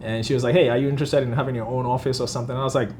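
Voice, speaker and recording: A middle-aged man from Ghana, a physician, talking earnestly in a casual interview and often agreeing with a quick "yes, yes". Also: medium pitch, fairly even intonation, darkish tone, a little hiss or hum. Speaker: physician guest.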